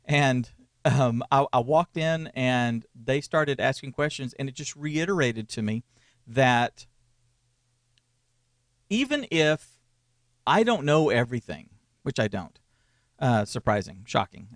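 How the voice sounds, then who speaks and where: A man's voice: mid-range voice, lively intonation, unhurried, long pauses, no echo, studio quality. A man in his forties co-hosting a casual ministry chat, rambling and restarting his sentences with small chuckles. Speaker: co-host, church media consultant. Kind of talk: casual conversational podcast.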